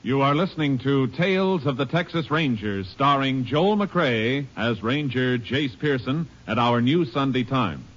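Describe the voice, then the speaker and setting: A man announcing a 1950s radio drama in a measured, formal way. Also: mid-range voice, animated, a bit bright, faint music behind. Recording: dull lo-fi old radio broadcast.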